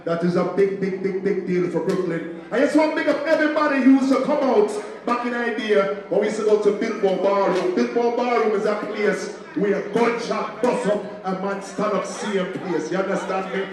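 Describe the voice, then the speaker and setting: A man acting as MC, giving hyped shout-outs to a crowd on a mic. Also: high voice, moderate pace, hardly any pauses. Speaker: MC hyping the crowd. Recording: echoey hall, mic a bit far.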